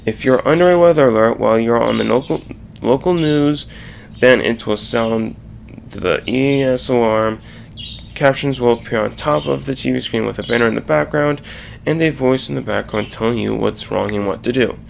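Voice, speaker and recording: A man narrating a slide presentation in a halting, explanatory way. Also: mid-range voice, vocal fry. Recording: faint background noise.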